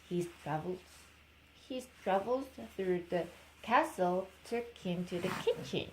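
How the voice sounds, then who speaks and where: A woman's voice: fairly low pitch, a little mellow, slight background noise, low-quality recording. Korean-accented young mother reading aloud. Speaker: mother reading to her baby. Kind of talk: storybook read-aloud with informal baby talk.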